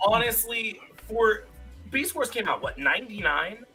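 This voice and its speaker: A man's voice: sits high, sing-song, unhurried, music in the background. A man chatting casually and jokingly as a fan, with emphatic, dramatic phrasing.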